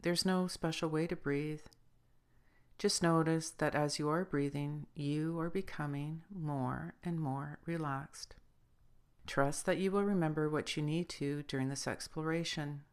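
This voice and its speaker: Woman with a low voice reading a guided visualization, measured and deliberate. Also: steady tone, clean tone. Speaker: spiritual guide leading guided meditations. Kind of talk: guided meditation, scripted narration.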